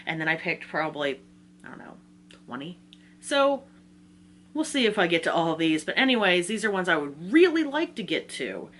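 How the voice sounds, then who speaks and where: A woman with a mid-pitched voice chats informally to her viewers as a book vlogger. Her manner is casual and self-deprecating. There is a faint hum and some noise in the recording. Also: a bit bright. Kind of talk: casual chatty reading-list vlog.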